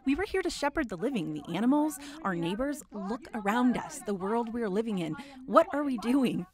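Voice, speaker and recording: An actress playing an uneasy, brooding woman who haltingly records her account in a horror drama, with a husky, gravelly voice. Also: on the high side, expressive, a little mellow, lightly acted. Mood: impassioned. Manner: halting, brooding, breaking into whispers.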